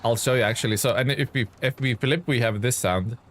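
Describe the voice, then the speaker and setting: A man's voice: mid-range voice, fairly quick, fairly bright tone. Lithuanian-born guy chatting on his game stream, answers chat, lots of ums, mid voice, bit of hiss behind. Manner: loose and chatty, reads viewer comments aloud, many fillers. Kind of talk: casual live-stream chat.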